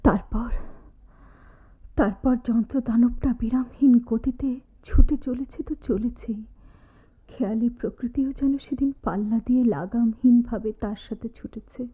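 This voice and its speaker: A woman's voice: on the high side, husky. An actress playing an anguished, bitter young woman in an emotive, theatrical style of radio drama. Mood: wistful, narrating. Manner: emotive, dramatic, halting repetitions. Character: anguished, bitter young woman, disfigured, speaking to her former love. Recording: tinny, phone-like old radio drama recording, noisy.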